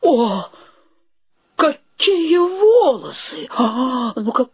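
Casting: flustered romantic young bachelor in radio comedy-drama, high voice, wide swings, gushing exclamations. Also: male voice, sing-song, extremely slow, breathy edge, full character voice. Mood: ardent. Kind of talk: theatrical radio play acting.

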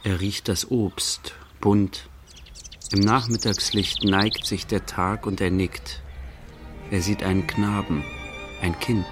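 An actor playing a sober narrator in a literary radio play, speaking in short, measured declarative phrases. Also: male voice, lowish voice, fairly even intonation, music in the background. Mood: lyrical observing. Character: sober male narrator observing an artist closely.

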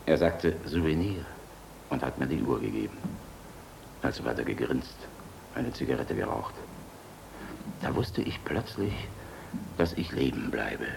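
A man's voice: a bass voice, animated, unhurried, vocal fry, long pauses, full character voice. An actor in a German radio play, playing a world-weary, tender general and flier who knows he is hunted. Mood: grave, confiding. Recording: noisy old radio play recording.

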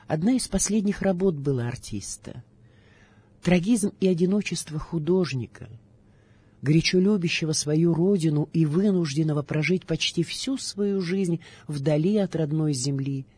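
A woman narrator reading a scripted radio documentary in a measured, solemn way. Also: deep voice, mellow, husky, no room sound. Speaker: narrator, presenter.

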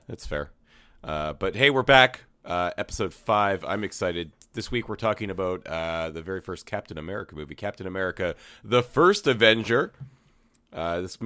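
A young man hosting a casual movie podcast, a little hoarse, talking with lots of fillers and restarts. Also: on the low side, bright, forceful tone, no room sound. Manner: halting, many fillers and restarts. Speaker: podcast host.

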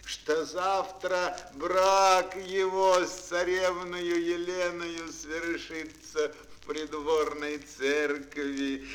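A man playing an overjoyed, pompous old tsar, making drawn-out grand proclamations in a children's fairy tale audio play. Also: sits high, fairly bright tone, slight background noise, pitched above the performer's own voice, full character voice, rough recording.

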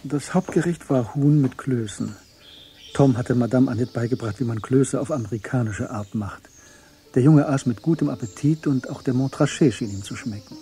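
A man narrates a radio crime drama. His voice is very dark and soft-edged, at an ordinary male pitch, with scripted literary delivery. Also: moderate pace.

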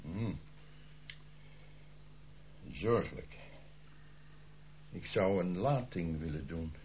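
A grave family doctor in a radio play. His low voice is measured and formal, yet it moves about in pitch. The recording is narrow and aged. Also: lively intonation, exaggerated voice. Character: grave, calm male family doctor attending a patient. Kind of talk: radio drama acting. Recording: muffled old radio play, faint hiss.

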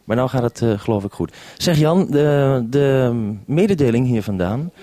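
A radio man speaks in a soft-edged, mellow voice, recorded very dry. He handles a two-way link in a hesitant, considerate way, ending his turns with formal handovers. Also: mid-range voice, expressive, normal speed, no room sound. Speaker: radio programme maker.

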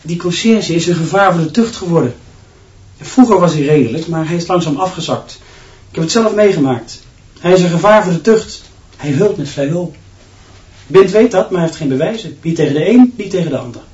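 A man's voice: fairly high pitch, animated, fairly quick, a little mellow, lightly acted. Cynical schoolmaster colleague, radio play, male actor: emphatic, confiding, insistent, heard at a distance in an echoey room. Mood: insistent, scornful. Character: gossipy male teacher colleague, cynical, insistent, awed by headmaster. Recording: roomy, distant, slightly dull sound.

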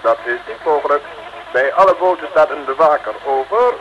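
An actor playing an officious headquarters dispatcher over two-way radio in an old Dutch radio serial, clipped and formal. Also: male voice, fairly high pitch, a little fast, fairly bright tone. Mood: urgent, official. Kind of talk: 1950s-60s Dutch radio serial acting. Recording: muffled, faintly noisy radio transmission.

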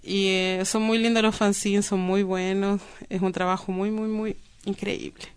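Woman w clear smooth voice, casual interview chat, slangy, repeats words for emphasis. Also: medium pitch, flat delivery, unhurried. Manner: informal, colloquial, emphatic repetition. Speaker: interview guest, activist.

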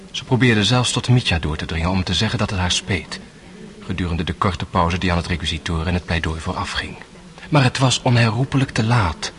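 An actor playing a reflective narrator who recounts events in a measured way in a radio drama. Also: male voice, on the low side, a bit bright, lightly acted. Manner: measured, storytelling.

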